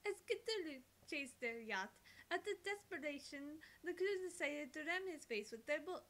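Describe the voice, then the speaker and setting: A woman's voice: sits high, animated, moderate pace, a bit bright. A woman voicing an earnest lab worker telling eldritch lore in a visual novel read-aloud. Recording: faint background hiss.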